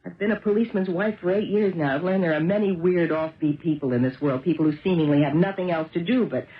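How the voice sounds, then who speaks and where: A woman's voice: fairly low pitch, fast, natural voice. An actress playing an anxious, loyal policeman's wife with an earnest, hesitant delivery in a 1970s radio drama. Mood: worried. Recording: muffled, tinny old radio broadcast recording.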